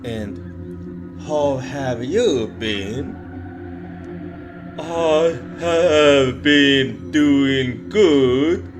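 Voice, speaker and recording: A man voicing a friendly but eerie ghost companion in a horror roleplay, with slow, drawn-out, deliberate speech. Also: mid-range voice, over music.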